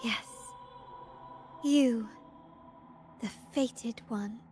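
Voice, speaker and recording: An enigmatic stranger. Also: female voice, on the high side, darkish tone, in a large hall, faint music behind. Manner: slow, measured, enigmatic. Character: mysterious poised woman stranger, cryptic. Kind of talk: game voice acting.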